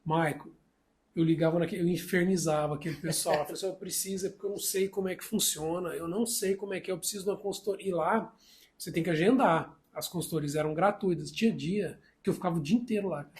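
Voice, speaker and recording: A man's voice: sits high, quick pace, darkish tone. A man from Brazil co-hosting a casual podcast, chatty and telling stories with easy laughter. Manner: chatty, anecdotal, laughs easily.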